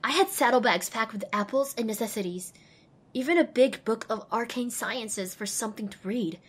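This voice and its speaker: A woman's voice: on the high side, normal speed, breathy edge. A woman voicing a young, determined and wry female pony who narrates her own adventure in an audio drama. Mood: resolute. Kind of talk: scripted first-person narration in audio drama.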